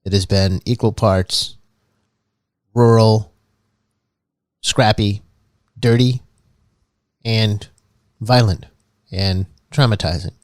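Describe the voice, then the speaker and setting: Male comedy host, mock public-radio narrator, lowish voice, dry close sound, earnest and halting. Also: steady tone, dry recording. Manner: earnest, halting, with many restarts.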